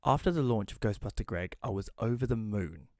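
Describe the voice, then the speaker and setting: A man playing a hesitant magazine writer who tells his own story in an audio drama, in a conversational, slightly halting way. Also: lowish voice, animated, darkish tone, soft background music. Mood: reflective. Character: Rory, male magazine writer, hesitant, self-doubting narrator. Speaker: narrator and lead character. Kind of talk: first-person audio drama narration with dialogue.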